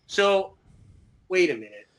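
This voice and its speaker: A man's voice: sits high, sing-song, slow pace, fairly bright tone. Rambling man in his thirties. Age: 30s. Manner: rambling, swears casually, many fillers. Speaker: podcast guest. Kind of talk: casual chat.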